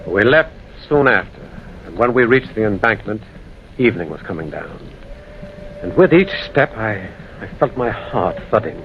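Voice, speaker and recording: A tense, grave storyteller of a ghostly encounter. He speaks deliberately and dramatically with animated pitch, in old radio drama style on a dull, faintly noisy recording. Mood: grave, suspenseful. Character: grave male traveler recounting a ghostly encounter. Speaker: narrator and protagonist.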